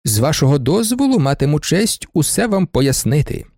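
A man's voice: a little high, very animated, normal speed, a little mellow, no echo, clean studio sound. Man doing a cheeky, mock-polite young student. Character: insolent young male student, smooth talker. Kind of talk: audiobook character voice.

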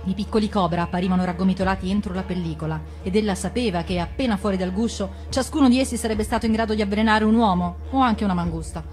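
A woman's voice: mid-range voice, fast talker, few pauses, a little mellow. Woman reading a story aloud over music. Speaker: actress reading a fairy tale. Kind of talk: dramatized storybook narration.

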